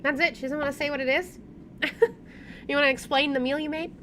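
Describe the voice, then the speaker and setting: A woman streamer reading a visual novel's narration aloud in an expressive, storytelling style. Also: sits high, a little fast. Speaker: let's play streamer. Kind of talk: expressive read-aloud narration of game text. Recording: faint background noise.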